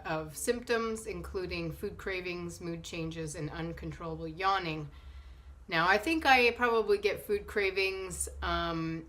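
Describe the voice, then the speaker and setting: A woman in her thirties with a cold, sounding a little nasal, talking casually to camera in a living room and pausing often with fillers. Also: lowish voice, a bit bright. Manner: hesitant, many fillers and restarts. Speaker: vlogger. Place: sunny living room indoors.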